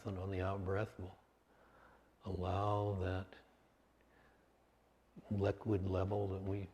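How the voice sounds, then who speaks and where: A man leading a guided meditation in short, measured phrases with pauses between them. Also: lowish voice, darkish tone. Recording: long hall-like reverb.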